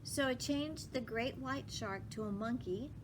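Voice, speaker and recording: A woman reading a children's story aloud with emphatic phrasing, pausing to put questions to listeners. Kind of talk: expressive children's read-aloud with asides to listeners. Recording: clearly noisy with low rumble.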